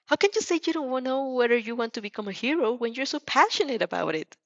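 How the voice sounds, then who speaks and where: A woman voicing a passionate, gleeful six-year-old boy who loves heroes and rants excitedly in an audio story. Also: high-pitched, fast talker, dry recording, muffled recording, voice pushed up. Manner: vehement, excitable ranting.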